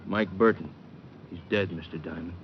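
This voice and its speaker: A man's voice: deep voice, fairly even intonation, natural voice. Man acting a big simple wrestler, muffled old radio. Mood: humble, sad. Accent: American. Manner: plain, slow-witted sincerity. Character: huge, simple, earnest male wrestler, loyal friend. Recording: muffled, noisy vintage radio recording.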